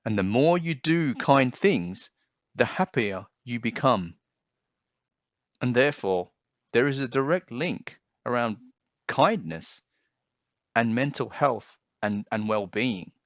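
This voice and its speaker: A man reading a picture book aloud and then talking to children about it, explaining things gently and simply. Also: medium pitch, animated, slow pace, long pauses, dry recording, highs cut off.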